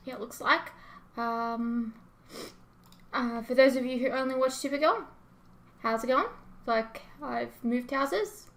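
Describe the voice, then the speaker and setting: High, bright-voiced Australian woman. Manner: informal, self-deprecating, rambling. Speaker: host of a TV reaction channel. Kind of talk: casual chat to viewers, reading and answering comments.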